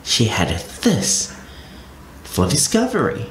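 Animated slow man reading a picture book. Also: mid-range voice. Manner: expressive, very animated storybook reading. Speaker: children's storyteller. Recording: echoey room with faint ambient noise.

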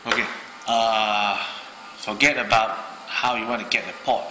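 A man's voice: on the low side, steady tone, measured pace, in a large hall, noisy background. A man from Malaysia casually demonstrating a radio at his desk, thinking aloud with many fillers. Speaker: hobbyist radio reviewer. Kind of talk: casual hands-on product demo. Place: indoor room at a desk.

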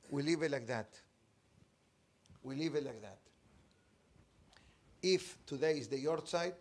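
A rabbi tells a story to his class, seated before a fixed camera in a small study. He speaks in an animated, emphatic way, leaning on dramatic pauses. His voice sits at an ordinary middle pitch for a man. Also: gravelly. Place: study room with bookshelves, indoors. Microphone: fixed camera, seated at desk.

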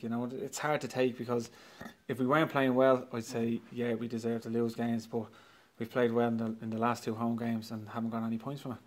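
A quick-talking Irish man in his forties answers post-match questions into a handheld mic. His delivery is flat and even, matter-of-fact and repetitive, frustrated yet upbeat about his team. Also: mid-range voice. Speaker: football manager. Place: clubhouse trophy room, indoors. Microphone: handheld mic held by interviewer.

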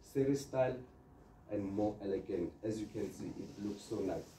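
A man's voice: fairly low pitch, moderate pace. A man giving an informal training presentation on products, explaining step by step with everyday scenarios. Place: indoor presentation room with large screen. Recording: dark, echoey, distant room recording with noise.

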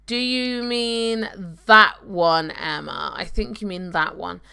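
Slow-talking, chatty young woman. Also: medium pitch, creaky, bright, forceful tone, smooth. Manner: chatty, reads out viewer comments. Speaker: gaming streamer. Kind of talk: casual live-stream chat while playing. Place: home room at a desk. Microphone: desk microphone on a webcam stream.

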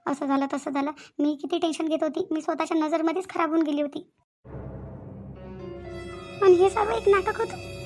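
A woman's voice: high-pitched, lightly acted. A woman voicing a hurt young wife in a melodramatic village cartoon, plaintive and accusing, with a Varhadi Marathi accent. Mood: anguished. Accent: Varhadi Marathi (Vidarbha).

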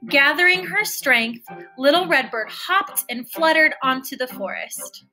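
A young adult woman reading a storybook aloud with careful, expressive storytelling. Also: high-pitched, a little slow, faint music behind, rough recording.

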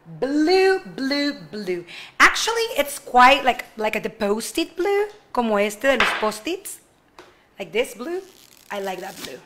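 Young woman w/ teaching little kids on webcam, high bright voice, stretches words out slow. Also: sing-song, a little hiss or hum. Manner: slow, drawn-out model phrases with playful asides. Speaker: ESL teacher for kindergarten. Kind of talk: online class for young children, mixing English and Spanish. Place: room at home in front of a slide screen.

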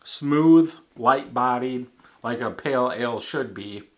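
A man in his thirties giving a casual beer tasting review at a home bar, thinking aloud with halting restarts. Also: mid-range voice. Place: home bar in front of a banner.

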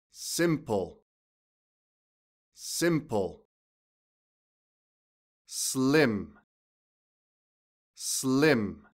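Slow, careful Canadian man teacher. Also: medium pitch, a bit bright. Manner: slow, carefully enunciated, repeating each word twice. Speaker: pronunciation teacher. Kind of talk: scripted pronunciation lesson.

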